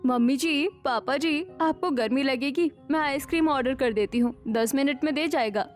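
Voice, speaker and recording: A woman playing a young daughter-in-law in an animated family story, with expressive domestic melodrama. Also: sits high, normal speed, faint music behind, natural voice. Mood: eager.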